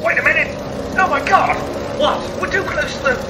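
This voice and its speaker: A man playing a frantic, bumbling pursuer in a farcical radio play, shouting in panic. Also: extremely high pitch, brisk. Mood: panicked. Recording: distant, roomy, loud engine noise.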